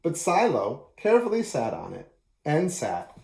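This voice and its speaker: A man reading a children's picture book aloud as an expressive storyteller, in an echoey room. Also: a little high, unhurried, creaky.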